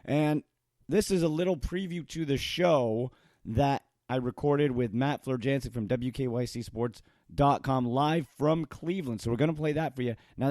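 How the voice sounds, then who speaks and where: Raspy, excited man hosting a sports podcast. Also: medium pitch, no room sound, clean studio sound. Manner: enthusiastic, talks fast with fillers. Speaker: podcast host. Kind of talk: casual sports chat.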